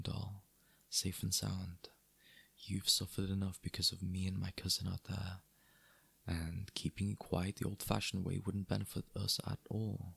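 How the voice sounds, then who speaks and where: Man acting a very gravelly, husky mafia boss. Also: sits low, fairly bright tone, no room sound, heavily acted. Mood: apologetic, soothing. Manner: dry, conversational, sardonic, addressing listener as doll. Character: apologetic, wry mafia boss, gentlemanly and exasperated. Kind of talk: ASMR audio roleplay, intimate scripted acting.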